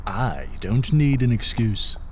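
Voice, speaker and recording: A man's voice: medium pitch, sing-song, takes it slowly, background noise. A man playing a jovial, hard-drinking aging king in an audio drama, wry and used to command. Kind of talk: full cast audio drama acting.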